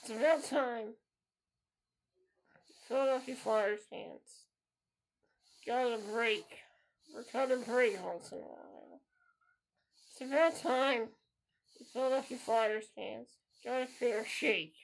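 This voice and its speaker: A man's voice: very high-pitched, slow pace, gravelly, long pauses, low-quality recording. A man in his thirties hosting a solo podcast, talking in an earnest, repetitive way and circling back to the same phrases. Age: 30s. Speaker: podcast host.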